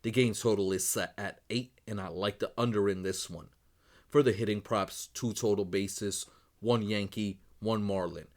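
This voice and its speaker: A man who is losing his voice, running quickly through his sports betting picks as host, in a brisk set routine. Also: fairly low pitch, fairly even intonation, a little mellow. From Northeast United States. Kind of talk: rapid betting picks rundown.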